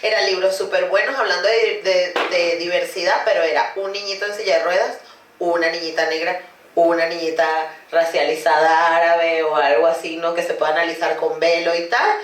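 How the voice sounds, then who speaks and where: A woman's voice: lowish voice, brisk. A woman from Venezuela in her thirties hosts a conversation, thinking aloud in long stretches and often checking in with "¿no?". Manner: talks in long, reflective runs with many "¿no?" tags. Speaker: podcast host. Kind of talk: casual conversation. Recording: roomy sound from a distant mic.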